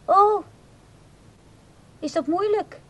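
A woman's voice: very high voice, drawn-out words, fairly bright tone, full character voice. An actress playing a timid, unworldly woman in a radio drama, hesitant and apologetic as she speaks. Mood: disappointed. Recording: audibly noisy radio play recording.

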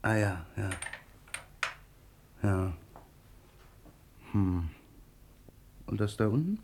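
A man's voice: on the low side, lively intonation, very slow, background noise, exaggerated voice. A man acting in a German radio play as a grumpy, sarcastic husband who hates shopping, with a blustering, disdainful manner. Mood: hesitant, bashful.

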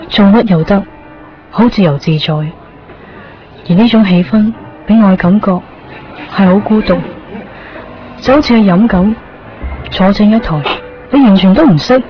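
A woman speaks in a soft, wistful inner monologue, her words laid over a clear music bed. The broadcast sound is slightly dull. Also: mid-range voice. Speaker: narrator and lead character. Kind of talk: 1990s radio drama narration.

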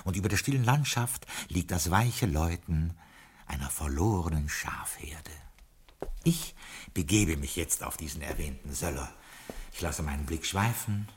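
An actor playing a dignified butler who narrates courteously in a 1960s radio play. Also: male voice, sits low, lively intonation, husky, exaggerated voice. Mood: wistful. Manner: measured, courteous storytelling. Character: dignified middle-aged male butler narrating.

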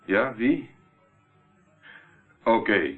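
An unflappable investigator with a low voice, dry and assertive in his questioning. It is Dutch radio drama, heard through a narrow, muffled band like an old broadcast. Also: very animated, extremely slow, over music, full character voice. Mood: calm, explaining.

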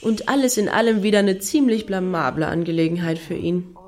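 A woman's voice: mid-range voice, a little fast, darkish tone, lightly acted. An actress playing a wry, mocking woman in a satirical radio play, with a dry delivery. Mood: scornful.

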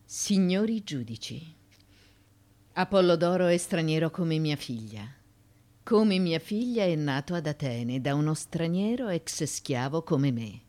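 Slow, low-voiced defiant woman pleading. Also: animated. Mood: solemn pleading. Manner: declamatory, deliberate, shifting between hushed and forceful. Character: proud, defiant foreign-born former slave and courtesan pleading before judges. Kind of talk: theatrical radio drama monologue.

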